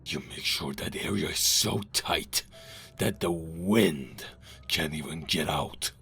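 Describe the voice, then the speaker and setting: A man playing a ruthless, obsessed intelligence boss in a comic book audio drama, gravelly, commanding and menacing. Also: extremely low pitch, takes it slowly, bright, forceful tone, full character voice. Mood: cold, commanding. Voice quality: gravelly, rasping. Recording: music behind the voice.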